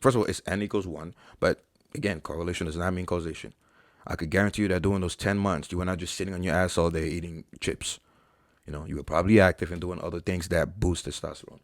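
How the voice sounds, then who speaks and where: A man's voice: low-pitched, steady tone, fast talker, no echo, clean studio sound. A young man hosting a fitness Q&A, bluntly and profanely debunking claims with an exasperated tone. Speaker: fitness channel host. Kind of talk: live Q&A, reading chat questions.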